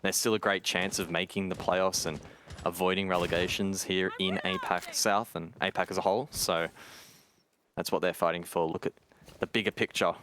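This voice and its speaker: A man's voice: deep voice, fairly even intonation, moderate pace, background noise. A man giving colour commentary on an esports match, analytical and wry, teasing his co-caster.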